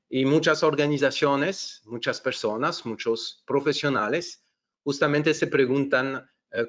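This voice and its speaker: A middle-aged man from France with a French accent, presenting a webinar in a halting way with many fillers and restarts. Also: medium pitch, fairly bright tone. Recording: dull lo-fi webinar audio.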